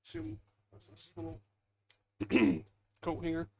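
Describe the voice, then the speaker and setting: A man's voice: fairly high pitch, huge pitch swings, slow. A man giving casual, rambling, self-deprecating gameplay commentary as the host, muttering into a headset mic at home. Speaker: gaming video host.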